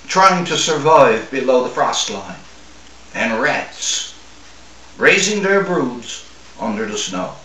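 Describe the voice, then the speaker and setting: An older man from Newfoundland reads a short story aloud in a measured, expressive way, with dramatic pauses. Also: fairly high pitch. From Newfoundland, Canada. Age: older, 60s or 70s. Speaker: author reading own short story. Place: small room at home or office, seated at a table. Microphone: camera a few feet away. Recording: roomy, distant microphone.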